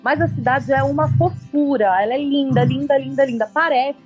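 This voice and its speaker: A Brazilian woman with a fairly high voice talks casually about travel. She is chatty and expressive, and leans on repeated words to make her point. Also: fairly quick, faint music behind. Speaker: podcast co-host recounting travels.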